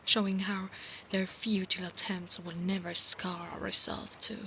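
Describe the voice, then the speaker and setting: A woman with an Italian accent playing a proud, obsessive female centaur knight in a soft-spoken, formal ASMR roleplay, often dropping into breathy whispers. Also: lowish voice, level tone, moderate pace. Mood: calm, proud. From Italy. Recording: clear background noise, ambience.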